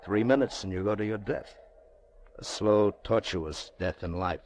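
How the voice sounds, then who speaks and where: An actor playing a wealthy, anguished middle-aged banker in an old-time radio drama, rhetorical and theatrical. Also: male voice, fairly low pitch, unhurried, darkish tone, slight background noise, lightly acted. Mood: grave persuading. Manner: theatrical, rhetorical, swinging from musing to urgent pleading.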